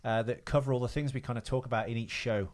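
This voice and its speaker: A man's voice: medium pitch, steady tone, fast talker. Man bantering casually as podcast co-host. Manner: sweary, joking, mock-grumpy banter. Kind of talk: casual comic film chat.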